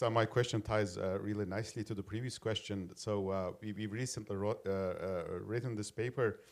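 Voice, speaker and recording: A man from Turkey, a senior lecturer asking a long audience question with many fillers and restarts. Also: fairly low pitch.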